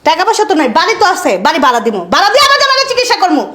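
A woman's voice: very high voice, big pitch swings, very fast, sharp tone, full character voice. A woman with a Bangladeshi accent playing a poor older mother and devoted, fiercely protective wife in a family melodrama. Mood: angry, scolding. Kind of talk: acted family melodrama in colloquial dialect.

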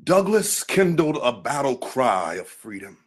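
A male actor performs a poem in a mid-range voice. His delivery is very unhurried, solemn and declamatory. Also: medium pitch, very animated, breathy edge. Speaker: actor reading poetry. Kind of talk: dramatic poetry recitation.

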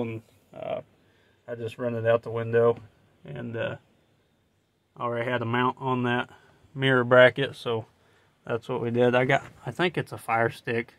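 A man's voice: medium pitch, no room sound. An American man chatting casually through a hands-on tutorial in a laid-back, folksy, plain-spoken way. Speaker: truck driver and vlogger. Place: inside a parked truck cab.

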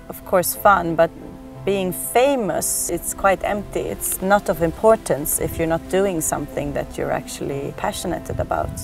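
Gravelly low-voiced Swedish actress. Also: female voice, a little mellow, far from the mic. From Sweden. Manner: reflective, earnest, with frequent hesitations. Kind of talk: documentary interview. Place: outdoors on a lawn.

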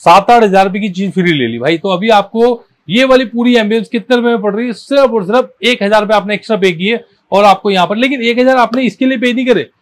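A man giving an informal, emphatic and repetitive sales pitch as a shop seller showing products. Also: fast talker. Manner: emphatic, repetitive, addressing viewers as brother. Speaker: car accessory shop seller presenting products.

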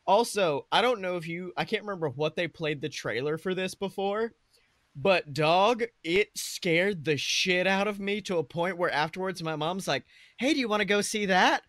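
A man's voice: high-pitched, normal speed, fairly bright tone, dry recording, studio quality. A young man co-hosting a podcast, chatting casually and comedically with slangy, profane talk. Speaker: podcast co-host.

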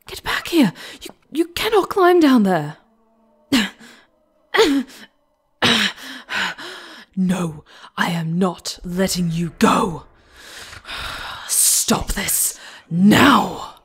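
A woman voicing an ancient, caring female demon guide in an ASMR fantasy roleplay, speaking formally and softly, with a breathy, theatrical delivery. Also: mid-range voice, animated, drawn-out words, long pauses, full character voice. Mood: alarmed, pleading. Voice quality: soft, breathy. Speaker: voice performer in audio roleplay.

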